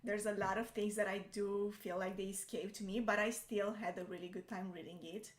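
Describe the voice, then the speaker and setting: A woman's voice: mid-range voice, level tone, normal speed. Romanian-native woman chatting, echoey room. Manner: hesitant, many fillers and restarts. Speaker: co-host. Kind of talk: casual chat.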